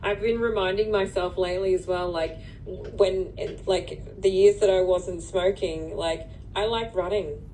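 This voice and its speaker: A woman speaking as a client in a healing session, agreeing softly and sharing personal thoughts. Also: mid-range voice, steady tone, unhurried, hardly any pauses, a little hiss or hum, rough recording. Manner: soft agreeing, backchannels "yeah" and "mm-hmm". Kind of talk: casual conversation.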